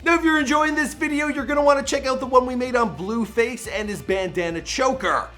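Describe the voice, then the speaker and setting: Punchy, bright-voiced Toronto host. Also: male voice, extremely high pitch, a little fast, soft background music. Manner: jokey, slangy, punchy delivery. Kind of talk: energetic countdown commentary.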